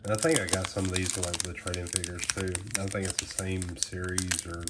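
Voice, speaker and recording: A man with a very low, stuffed-up nasal voice from a head cold talks loosely and rambles. He reads card names aloud close to a camera mic, with loud wrapper crinkling all around him. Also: level tone, brisk, heavy background noise. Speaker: card collector unboxing trading cards. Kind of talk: casual unboxing commentary. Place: indoors at a small table, close to the camera.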